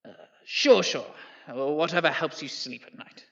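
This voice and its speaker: An actor playing a smug, bullying Prime Minister in an audio drama, mocking, theatrical and condescending. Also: male voice, fairly high pitch, moderate pace, vocal fry, sharp tone, dull audio. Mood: dismissive.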